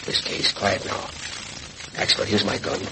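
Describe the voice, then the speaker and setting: An actor playing a gruff, bossy police sergeant who barks clipped orders, in 1940s radio drama style. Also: male voice, measured pace, background noise, close to an everyday voice. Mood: hushed, tense.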